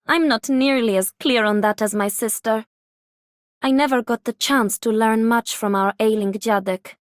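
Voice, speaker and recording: A fairly high young woman's voice for an idealistic noble knight in a video game. She sounds earnest and sincere, in a dry studio recording. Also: no room sound. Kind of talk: scripted game character voice lines.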